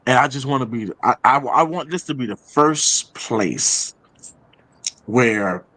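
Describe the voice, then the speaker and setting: A man with a mid-pitched voice hosts a casual talk show. He makes announcements in a conversational way, often doubling back on phrases to stress them. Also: animated, slow pace, pushed and bright, dry recording, rough recording. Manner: repeats phrases for emphasis. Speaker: show host and playwright.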